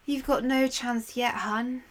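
A woman's voice: fairly high pitch, steady tone, slow. A woman voicing a cheerful female seer who talks in casual slang in a told myth. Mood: soothing. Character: breezy, slangy female seer guiding the hero.

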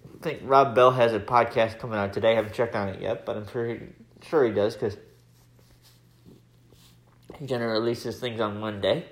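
A man's voice: fairly low pitch, steady tone, a little fast, pauses often. Chatty American man, casual podcast diary. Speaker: podcast host. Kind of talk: casual personal audio diary. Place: indoors at home, room with laminate floor.

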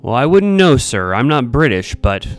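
A man's voice: mid-range voice, very animated, moderate pace, voice pushed up, close to an everyday voice. A man voicing a polite, puzzled female emergency operator in a story, questioning and officious. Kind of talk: storytelling character voice.

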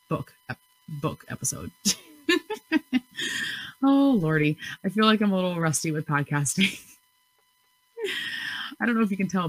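A woman's voice: low voice, rough recording. A woman in her thirties hosting a solo podcast in a chatty, giggly way, often correcting herself mid-sentence. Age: 30s. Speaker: podcast host.

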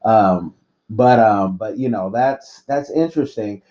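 A man from East Oakland interviewing a guest in a casual, halting way with many fillers and repeated words. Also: fairly low pitch. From East Oakland, California. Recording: dull lo-fi recording.

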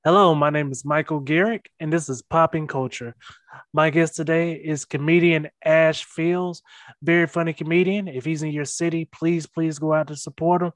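A man's voice: fairly high pitch, steady tone, moderate pace, no echo, clean studio sound. A man hosting a podcast, interviewing a comedian guest with many ums and uhs. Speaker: podcast host.

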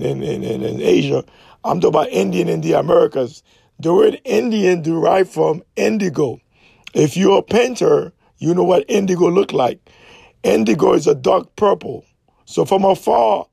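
Gravelly man lecturing hard. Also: high-pitched, a little mellow, dry recording. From Haiti. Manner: emphatic, insistent, repeats points and asks "okay?". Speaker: speaker giving a history talk. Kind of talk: impassioned lecturing monologue.

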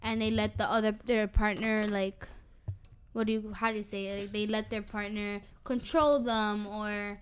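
A woman's voice: medium pitch, fairly even intonation, moderate pace, a little hiss or hum. Earnest teenage girl in a panel chat. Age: teens. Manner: earnest, explains at length. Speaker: student co-host.

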